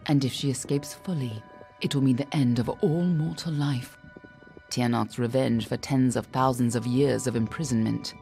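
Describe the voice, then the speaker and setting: An actress playing a stern, guarded warrior woman in a fantasy game, speaking in a cool, serious, measured way. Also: rumbling low, fairly even intonation, creaky, warm tone, faint music behind. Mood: grave, guarded. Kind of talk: dramatic fantasy game dialogue.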